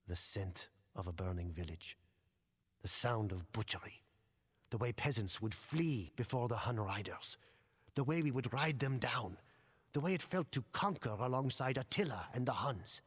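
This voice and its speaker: A man voicing a brooding former warrior turned priest, hushed and deliberate, in a game. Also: mid-range voice, expressive, slightly rough, long reverb tail. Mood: brooding, nostalgic.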